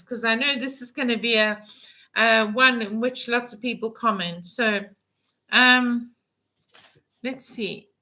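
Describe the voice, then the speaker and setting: Bright, chatty woman vlogger. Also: a little high, low-quality recording. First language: English. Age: 50s. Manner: chatty and rambling, with many fillers and restarts. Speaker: vlog host. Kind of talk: casual live-stream chat.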